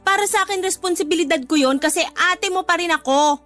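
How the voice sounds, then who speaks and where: An actress playing an exasperated, scolding but concerned older sister in a radio drama. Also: female voice, extremely high pitch, fast, fairly bright tone, faint music behind. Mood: exasperated, pleading. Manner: scolding, emphatic. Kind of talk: Filipino radio drama dialogue.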